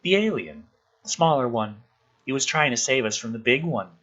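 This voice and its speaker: An earnest young boy as a man reads him from a film script. The delivery is blunt and innocent, with a bright, slightly husky tone and matter-of-fact phrasing. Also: mid-range voice, big pitch swings, normal speed, muffled recording. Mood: earnest, curious.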